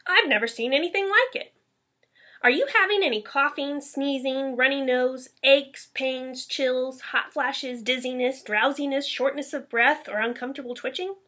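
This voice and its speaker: A woman's voice: high-pitched, normal speed, pushed and bright. A woman voicing a puzzled but assured male family doctor in a storybook.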